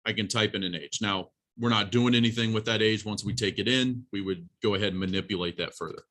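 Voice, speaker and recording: Bright-voiced male college programming instructor. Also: on the low side, fast. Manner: plain-spoken, instructive, addresses students directly. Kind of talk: informal classroom lesson with live coding demonstration.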